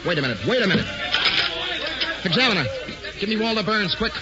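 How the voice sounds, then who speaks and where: An actor playing a brash, wisecracking newspaper reporter in a 1940s radio play. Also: male voice, sits high, sing-song, a little fast, a loud crowd. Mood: excited. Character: brash fast-talking male newspaper reporter. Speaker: lead actor. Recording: dull 1940s radio broadcast.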